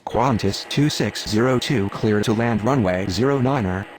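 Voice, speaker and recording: A man voicing a calm, formal pilot and controller in a game, with clipped, measured radio phraseology. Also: lowish voice, a little hiss or hum, lightly acted.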